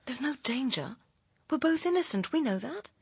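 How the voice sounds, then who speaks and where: An actress with a British accent playing a bitter, persuasive young personal assistant with secrets in a radio crime drama, speaking in a pressing, cutting, articulate manner. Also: female voice, high-pitched, sing-song, moderate pace, vocal fry, husky, no room sound, full character voice. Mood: urgent, persuasive.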